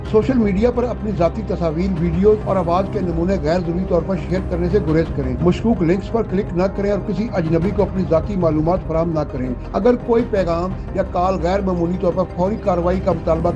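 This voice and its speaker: A man with a Pakistani accent narrating a scripted news explainer in a measured, formal, instructive way. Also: sits high. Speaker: news presenter. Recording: dull lo-fi audio with music. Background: prominent background music.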